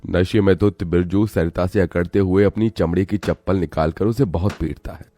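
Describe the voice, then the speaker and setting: A man narrating a scripted cartoon moral story in a dramatic, expressive storytelling style. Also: deep voice, normal speed, dry recording, clean studio sound.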